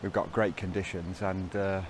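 A middle-aged man talks straight into a handheld camera out on an open hillside. His voice sits fairly low and he keeps it chatty and upbeat. A faint outdoor wash sits behind him. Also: level tone, moderate pace, a little hiss or hum. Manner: chatty, upbeat, laughs between remarks. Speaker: hiking vlogger. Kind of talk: casual vlog narration. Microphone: handheld camera at arm's length.

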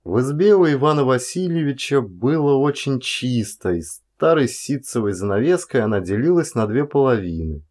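Animated male audiobook narrator. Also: medium pitch, normal speed, hardly any pauses. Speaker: actor reading an audiobook. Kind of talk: expressive literary narration.

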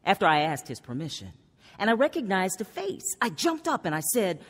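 Actress as an earnest, troubled woman, animated pitch. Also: a little fast, a little hiss or hum, lightly acted. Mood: unsettled. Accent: American. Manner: earnest, confessional. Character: earnest, troubled woman recounting her life of illness and faith. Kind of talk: radio drama narration and dialogue. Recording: radio drama with music underneath.

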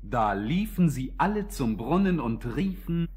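A man's voice: mid-range voice, very animated, a little slow, heavy background noise. A man narrating a children's fairy tale in a scripted, storybook style.

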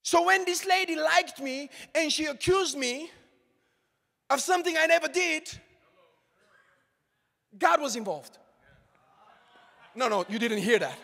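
A young male pastor preaches to a congregation in a big sanctuary, his high, bright voice carrying a gravelly edge. He swings from shouted calls to hushed asides. A long reverb rings around each phrase. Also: expressive, measured pace, long pauses. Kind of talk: sermon. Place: large church auditorium with congregation. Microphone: handheld mic.

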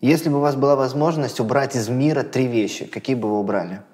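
A man in his thirties hosting an interview, asking quick questions in a calm, measured way on a lapel mic in a studio. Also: mid-range voice, long reverb tail. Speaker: host, interviewer. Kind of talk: rapid-fire interview questions. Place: studio room with plants.

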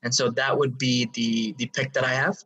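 A man in his thirties from Hong Kong, a guest explaining his consulting work in a measured way with some fillers. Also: medium pitch, level tone, pushed and bright, breathy edge, far from the mic, poor audio. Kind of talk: interview answers.

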